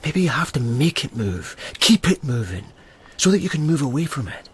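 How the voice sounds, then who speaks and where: A man playing a steady friend in a radio drama, calm and probing, pressing with short questions. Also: medium pitch, normal speed, breathy edge. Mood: insistent.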